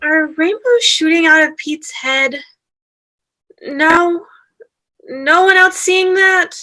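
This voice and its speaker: A woman voicing a spacey hippie ghost at a table read. The voice is very high and bright, drifting along slowly in a dreamy manner. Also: exaggerated voice, rough recording. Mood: dazed wonder.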